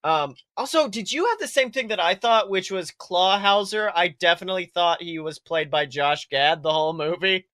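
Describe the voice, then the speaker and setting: Bright, excitable man in casual chat. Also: high voice, normal speed, few pauses, no room sound, clean studio sound. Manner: excitable, exclamatory, swearing freely. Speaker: podcast co-host. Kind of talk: casual chat about an animated film.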